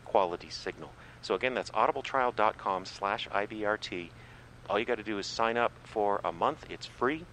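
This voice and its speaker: Male small-town radio presenter: lowish, forceful voice, homespun informal delivery with some hesitancy. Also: a little hiss or hum, low-quality recording. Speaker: radio host and announcer.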